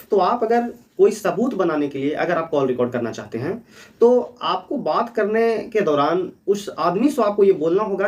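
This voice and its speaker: A man's voice: high voice, far from the mic. A man hosting an explainer for viewers, talking in an earnest, instructive way and repeating key points. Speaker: host of a legal awareness channel.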